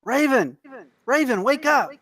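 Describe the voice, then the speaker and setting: A man acts a scrappy, protective photographer in a screenplay read. He is anxious and insistent, with urgent, pleading delivery that swings up and down in an animated way. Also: very high voice, a little slow, full character voice. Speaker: actor at a table read.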